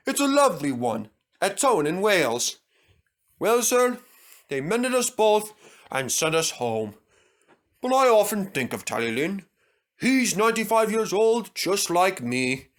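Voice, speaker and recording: A man voicing a kindly, very old male steam engine in a storybook reading, slow and gentle. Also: sits high, pitched above the performer's own voice.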